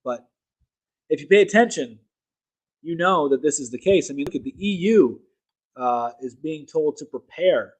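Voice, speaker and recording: A man's voice: on the high side, lively intonation, moderate pace, pauses often, a little mellow, poor audio. A man hosting a casual opinion livestream, talking emphatically and rambling, correcting himself mid-sentence. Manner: reads articles aloud to viewers. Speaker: political livestream host.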